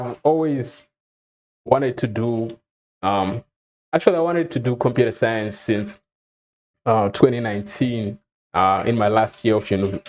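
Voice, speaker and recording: A young man from Zimbabwe telling his own story in a relaxed, casual way, with frequent ums and soft chuckles. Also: mid-range voice, a little hiss or hum. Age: young adult. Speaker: vlogger.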